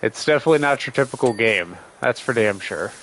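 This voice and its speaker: A man's voice: mid-range voice, lively intonation, a little fast, a bit bright, a little hiss or hum, low-quality recording. A man doing casual livestream game commentary, sarcastically grumbling and talking back to the game's characters, still getting over a cold with a lingering cough. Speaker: gaming streamer.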